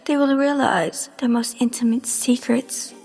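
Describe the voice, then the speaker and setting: A woman voicing an ominous storyteller, narrating an audio drama in a hushed, breathy, half-whispered delivery. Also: a little high, measured pace, in a large hall, soft background music.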